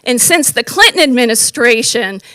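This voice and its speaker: A woman from the US East Coast, speaking loudly and emphatically as a public commenter at a county board meeting. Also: a little high, animated, normal speed, smooth, no room sound. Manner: loud, emphatic, forceful delivery.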